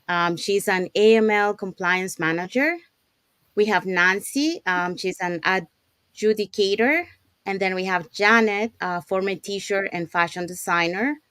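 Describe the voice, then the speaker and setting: A woman's voice: medium pitch, clear, resonant tone, no echo. A woman from Panama hosting a live online business show over a video call, with frequent ums, uhs and restarts. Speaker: host.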